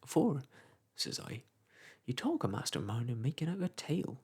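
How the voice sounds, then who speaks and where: Man doing a garrulous old village clerk, gravelly, husky. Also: mid-range voice, sing-song, moderate pace, a little mellow. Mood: rambling advice. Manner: rambling, folksy, lecturing.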